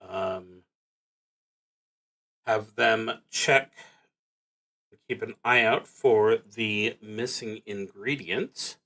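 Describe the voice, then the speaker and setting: A man thinking aloud as he narrates a solo tabletop roleplaying game, in a halting, musing, wry way. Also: fairly low pitch, bright, forceful tone.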